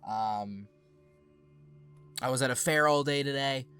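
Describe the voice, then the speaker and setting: A man's voice: medium pitch, unhurried, fairly bright tone, soft background music. A young adult man hosting a let's play, rambling in a casual, chatty way, a bit sniffly from allergies. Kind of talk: casual gameplay commentary.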